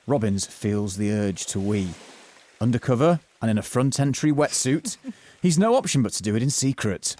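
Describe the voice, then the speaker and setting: Animated male narrator of a comic drama. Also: medium pitch, moderate pace.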